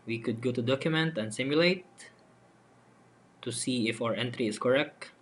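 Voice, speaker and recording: Male software demonstrator, unscripted and halting, walking through screen steps, some noise behind the voice. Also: mid-range voice. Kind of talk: step-by-step screen tutorial narration. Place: voice-over for a screen recording. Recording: noticeable steady background noise.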